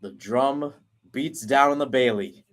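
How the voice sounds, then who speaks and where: A man at a commentary mic calls a soccer match move by move. His delivery runs quick and steady through pass after pass, then climbs in pitch and energy when a chance opens. His voice sits at a plain middle pitch for a man. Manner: rapid running call, rising on chances. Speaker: play-by-play commentator. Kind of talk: live soccer play-by-play.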